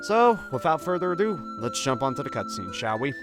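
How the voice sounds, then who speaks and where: Lively man doing casual game commentary. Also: fairly high pitch, fairly quick, music in the background. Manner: jokey, conversational, slips into mock dialogue. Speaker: gaming video host.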